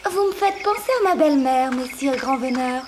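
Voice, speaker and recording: Among birdsong and background noise, a young fairy-tale princess. The voice is high, sweet and innocent, full of girlish cheer as in a classic animated film. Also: normal speed. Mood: playful, caring. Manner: gushing, girlish, giggly. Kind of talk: French animated film voice acting. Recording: clear birdsong and noise behind.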